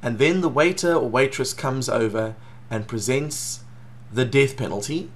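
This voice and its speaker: A middle-aged man with a South African accent, an educator giving an earnest, steady talk to a webcam in a small home office. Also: medium pitch, unhurried. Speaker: educator presenting. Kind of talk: scripted talk to camera.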